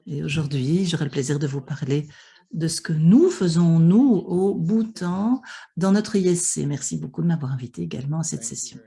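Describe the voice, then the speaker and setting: Husky low-voiced woman interpreting a panel. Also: normal speed, dark tone. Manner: hesitant, many fillers and restarts. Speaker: simultaneous interpreter for an online panel. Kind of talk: simultaneous interpretation of a conference panel.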